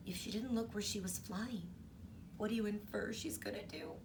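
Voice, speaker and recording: A woman's voice: mid-range voice, measured pace, far from the mic, noisy background. A woman teacher reading a storybook aloud to children, stopping often to ask them questions. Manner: expressive, asks the children questions. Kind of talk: storybook read-aloud for kids.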